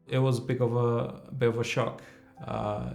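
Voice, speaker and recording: A man's voice: medium pitch, level tone, normal speed, gravelly, darkish tone. A man in his thirties with a Portuguese accent, a native Portuguese speaker, speaking hesitantly with restarts in a documentary interview. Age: 30s. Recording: roomy interview with music bed.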